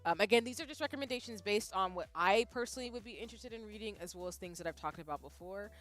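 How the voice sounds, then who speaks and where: A young woman streamer talking casually and animatedly to her chat, jumping between loud bursts and soft asides, often poking fun at herself. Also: mid-range voice, animated, quick pace. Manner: self-deprecating, reads out chat. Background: faint background music.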